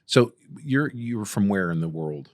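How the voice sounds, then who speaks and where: A man hosting an interview, asking quick follow-up questions in a jokey, enthusiastic way. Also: lowish voice, expressive, no echo. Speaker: podcast host interviewer. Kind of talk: casual interview.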